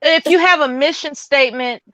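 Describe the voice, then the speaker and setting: A woman's voice: sits high, moderate pace, a bit bright, no room sound. A woman hosting a casual online panel, giving frank advice and laughing easily. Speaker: panel host. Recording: dull, lo-fi online call audio.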